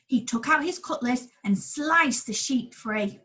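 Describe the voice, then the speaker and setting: Woman, children's storyteller, high voice, very lively intonation, unhurried, expressive. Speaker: primary school staff storyteller. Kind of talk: expressive picture-book reading for children.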